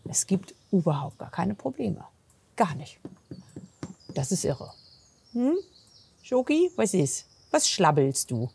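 A woman talking casually as a horse trainer, explaining things on the spot outdoors with a slightly husky voice. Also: lowish voice, big pitch swings, takes it slowly, long pauses, darkish tone, slight background noise. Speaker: horse physiotherapist and trainer, presenter. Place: outdoors at a horse yard and paddock.

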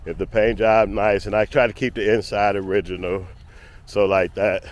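Low-voiced guy rambling on a dog walk outside, camera on him, easygoing chat. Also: moderate pace. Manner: relaxed, rambling, talks to his dog between thoughts. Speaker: vlogger and dog owner. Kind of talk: casual walk-and-talk vlog. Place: outdoors in a grassy park.

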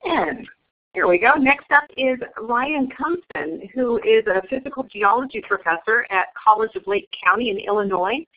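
A woman's voice: a little high, animated, moderate pace. A woman moderating a webinar, introducing speakers and passing on questions, with frequent ums. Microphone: phone or web call. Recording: tinny phone-line audio.

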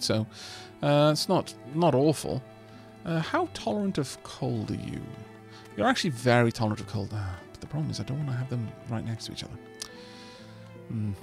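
Welsh-native male let's-player, chatty and expressive, speaks to his settlers, soft music underneath. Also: medium pitch. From Wales. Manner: chatty, talks to his settlers, self-deprecating. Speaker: let's play host. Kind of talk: casual gameplay commentary.

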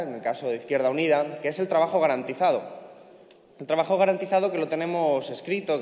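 A young man speaking at a lectern in a large parliament chamber, arguing forcefully and stacking lists for emphasis into a lectern microphone. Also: on the high side, highs cut off. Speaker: member of parliament. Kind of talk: parliamentary speech. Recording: echoey chamber with long reverb.

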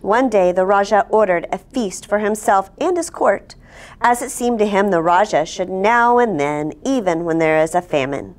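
Female story-time host, forties, animated melodic delivery for young listeners, expressive read-aloud. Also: mid-range voice, moderate pace. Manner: expressive, asks listeners simple questions. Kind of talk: children's picture-book read-aloud. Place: indoor studio set at a table. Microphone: lapel.

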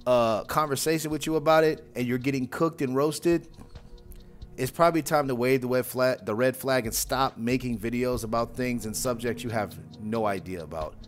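A young man talks into a wired earphone mic in a small room at home, casual and mocking, tossing in "bro" again and again. His voice sits in a middle pitch. Faint music plays under him. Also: moderate pace. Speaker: reaction channel host. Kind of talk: casual reaction commentary.

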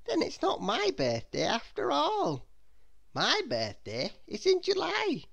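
Scatterbrained storybook miss, acted by a woman: light high pitch, slow, breezy, cheerfully dithering. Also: wildly swooping pitch, creaky, fairly bright tone, exaggerated voice. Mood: surprised, breezy. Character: cheerful, dreamy, scatterbrained little girlish miss.

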